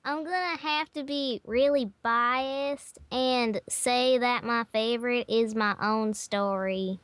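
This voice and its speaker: Woman, very high voice, slow delivery, casual podcast chat, faint noise and clicks. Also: fairly even intonation, a bit bright. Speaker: podcast participant, fanfiction writer.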